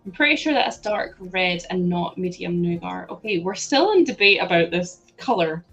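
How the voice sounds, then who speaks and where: A woman's voice: lowish voice, expressive, normal speed, a bit bright, dull audio, faint music behind. A Scottish woman from Scotland chatting casually on a live stream, giggling often as she answers her chat. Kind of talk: casual live-stream chat while sorting building parts.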